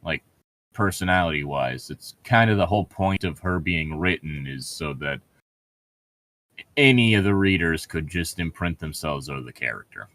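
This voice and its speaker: A man's voice: sits low, expressive, normal speed, a bit bright. A man reading a novel aloud and mocking it with crude, sarcastic, irreverent commentary. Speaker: co-host of a comedic read-along.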